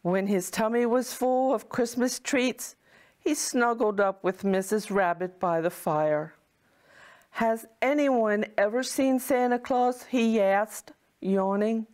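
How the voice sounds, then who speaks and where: A woman reading a children's storybook as a kindly Mrs. Claus, in a deliberate, expressive way. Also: on the high side. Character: Mrs. Claus, kindly motherly wife of Santa.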